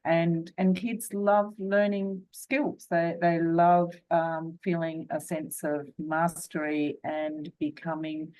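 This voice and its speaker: A woman's voice: on the low side, flat delivery, takes it slowly, hardly any pauses, darkish tone, low-quality recording. A woman academic speaking fluently and emphatically on a panel over a video call. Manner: fluent, emphatic, many fillers and restarts. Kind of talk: panel discussion.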